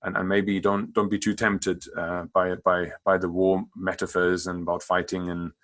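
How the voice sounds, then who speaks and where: Middle-aged German-accented doctor, very low pitch, giving a halting, stop-start talk. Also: male voice, little pitch movement, a bit bright. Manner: halting, many restarts and repeated words. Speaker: palliative care doctor. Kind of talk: conference talk with slides.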